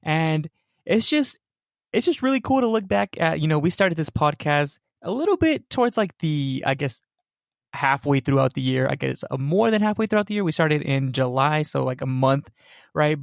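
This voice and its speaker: A man's voice: a little high, vocal fry, dry recording, studio quality. A young man hosting a casual supernatural podcast, rambling with fillers and tag questions like "right?". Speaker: podcast host.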